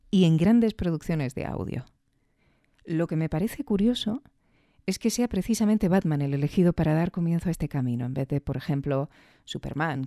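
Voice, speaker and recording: Mellow woman, thoughtful podcast commentary. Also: low-pitched, dry recording, studio quality. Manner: thoughtful, rhetorical questions, emphatic repetition. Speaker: podcast host. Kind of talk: reflective commentary on audio fiction.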